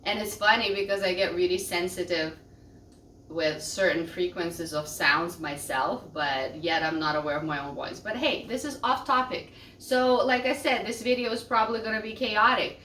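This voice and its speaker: A woman vlogging casually, her voice rough from a cold, talking loudly and rambling with self-deprecating asides. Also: lowish voice, normal speed, a little hiss or hum. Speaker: vlogger and small business owner. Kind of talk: casual chatty vlog. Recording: roomy echo, distant mic.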